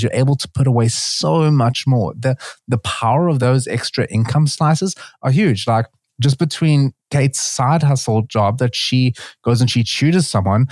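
A man's voice: medium pitch, no echo, clean studio sound. A man co-hosting a casual podcast, talking loosely with lots of fillers. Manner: relaxed, filler-heavy, says "like" often. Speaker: podcast co-host.

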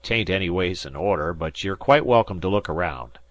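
A man voicing a gruff but fair fishing schooner skipper with rustic, folksy speech in a storybook. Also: low-pitched, animated, moderate pace, a bit bright, no room sound. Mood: frank, kindly.

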